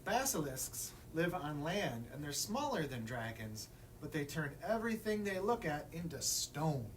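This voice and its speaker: Animated man reading a children's story, distant mic. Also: on the high side, a little slow. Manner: animated, addresses young listeners with questions. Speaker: librarian story time reader. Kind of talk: expressive children's picture book read-aloud. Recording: distant mic, room noise.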